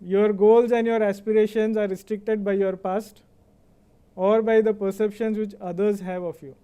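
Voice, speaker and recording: An Indian man in middle age gives a convocation address in a relaxed, conversational way, often checking in with "right?". His voice sits fairly high for a man. It sounds mellow and smooth, and he speaks Indian English as a native Kannada speaker. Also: normal speed. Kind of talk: motivational speech.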